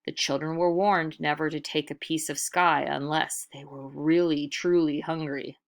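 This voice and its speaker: A woman storyteller reading a picture book aloud in an expressive, dramatic way with deliberate emphasis. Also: sits low.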